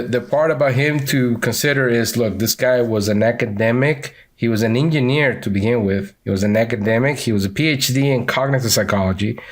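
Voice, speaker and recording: A man with a Venezuelan accent, a guest in a casual podcast interview, talking in an animated way with many fillers and restarts. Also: medium pitch. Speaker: podcast guest, instructional designer.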